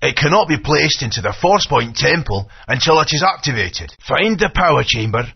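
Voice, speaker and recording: A raspy, gravelly guide in a video game, handing out urgent instructions. His pitch is a little high and very animated. The audio is dull and lo-fi. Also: normal speed, heavily acted. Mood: instructive.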